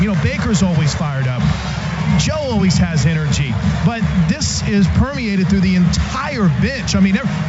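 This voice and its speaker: An excited man gives emphatic sideline reports on live football radio. His voice is high, and loud music blares behind him in the stadium. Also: dull audio. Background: loud music and stadium noise.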